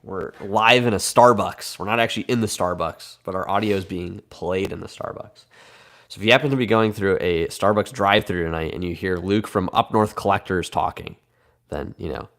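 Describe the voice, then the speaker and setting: A slightly low-voiced man with a Midwestern American accent runs a live card break. He is a bit congested from a cold, and he talks in a chatty, upbeat way while reading off names and teams. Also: moderate pace, vocal fry, a bit bright.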